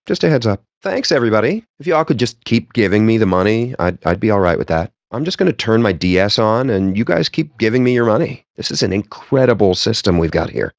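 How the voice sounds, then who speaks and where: An actor playing a cocky, foul-mouthed, nerdy poker player in a video game, with a sardonic, smug and theatrically wordy delivery. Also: male voice, lowish voice, no room sound, clean studio sound. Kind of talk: video game character banter.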